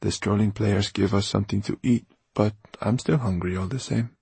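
A man's voice: lowish voice, normal speed, gravelly, dark tone, breathy edge, dry recording, voice pushed up, full character voice. A man voicing an eager, touchy teenage boy with a defensive, hesitant manner in an audiobook. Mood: earnest. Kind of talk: audiobook character dialogue.

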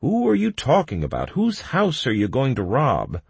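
A man voicing a brash, blunt gamer sidekick in an audiobook, loud and teasing. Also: medium pitch, wildly swooping pitch, normal speed, vocal fry, breathy edge, no echo, pitched above the performer's own voice, full character voice. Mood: exasperated, mocking.

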